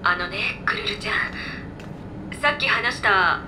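A woman's voice: mid-range voice, unhurried, noisy background, close to an everyday voice. A woman voicing an easygoing, knowledgeable floating robot in a video game, explaining things casually. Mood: thoughtful. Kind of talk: video game voice acting, exposition.

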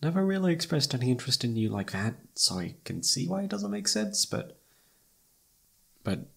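A young man playing a lovesick, self-blaming best friend in a halting, emotional, intimate romantic audio roleplay, with a husky voice. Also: on the high side, big pitch swings, full character voice. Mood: flustered.

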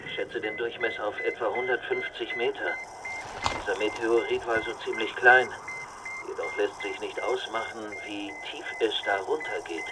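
An actor playing a careful, uneasy astronaut scientist in an audio drama, precise and measured. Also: male voice, on the low side, heavy background noise, close to an everyday voice.

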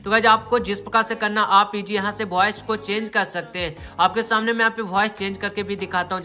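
A man's voice: sits high, bright, forceful tone. A man presenting an informal tutorial to viewers, repeating himself and addressing them often. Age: 20s. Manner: repetitive, frequently addresses viewers as guys. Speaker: YouTube host, gaming tech tutorial presenter. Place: small indoor room. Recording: roomy echo, faint music behind. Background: music.

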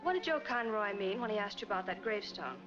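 An American actress playing a poised, defensive young wife in a 1940s film noir. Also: female voice, medium pitch, lively intonation, quick pace, lightly acted. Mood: probing, concerned. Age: young adult. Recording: dull 1940s film soundtrack with music.

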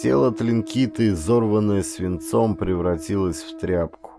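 Low-voiced man narrating dramatic adventure. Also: measured pace, vocal fry, music in the background. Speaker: audiobook narrator, actor.

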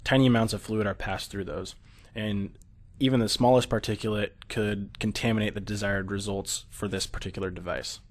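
A man's voice: lowish voice, steady tone, brisk. An American man narrating a technical slideshow, explaining steadily with occasional fillers. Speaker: product presenter.

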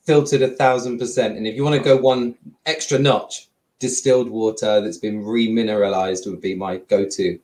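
A man in his forties, a guest on a video call from his living room, answering in a relaxed, rambling way. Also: fairly low pitch. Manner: relaxed, rambling, quick to laugh. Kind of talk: casual interview answers.

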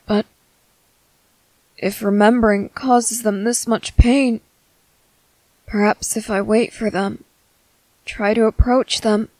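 A woman voicing a gentle young merman in an ASMR roleplay, hesitant, soft-spoken, earnest and apologetic. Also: mid-range voice, no echo, close to an everyday voice, low-quality recording. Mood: wistful. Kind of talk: ASMR roleplay, scripted character acting.

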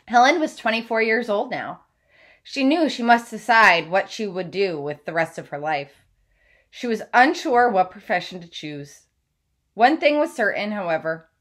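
A woman in her thirties reads a book aloud as a teacher would. Her voice sits at an average pitch for a woman. She phrases each sentence deliberately, in a measured storytelling delivery. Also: fairly bright tone. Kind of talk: read-aloud of a children's biography. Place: small room at home, indoors.

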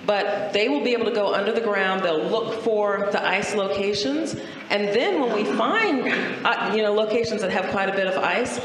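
A woman's voice: mid-range voice, normal speed. A middle-aged woman with an American accent, explaining emphatically in a public talk on an auditorium stage with a handheld mic. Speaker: space agency executive, guest speaker. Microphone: handheld microphone. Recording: very echoey hall, faint noise.

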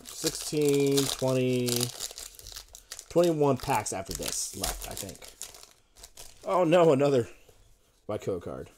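A man in his thirties at home, casually reading out card names as he opens packs, with sudden bursts of excitement. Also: mid-range voice, slow, long pauses, slight background noise. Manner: lists card names in a running murmur, brief excited outbursts. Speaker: card-opening video host. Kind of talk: casual unboxing commentary. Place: home room with a desk. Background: crinkling card wrappers.

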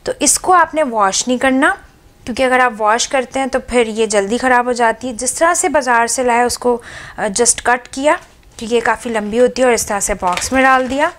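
A woman's voice: fairly high pitch, moderate pace, a bit bright, a little hiss or hum. A woman hosting a home cooking vlog, chatting casually as she shows how she organizes her fridge, slipping English words into her speech. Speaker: cooking channel host. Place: home kitchen.

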